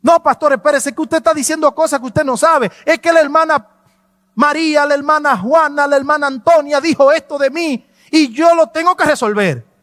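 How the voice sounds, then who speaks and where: Spoken by a preacher, a defensive church member protests in a high, pressing voice. Long reverb rings through the hall around it. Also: male voice, normal speed, fairly bright tone, studio quality. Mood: indignant. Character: defensive church member complaining about sisters.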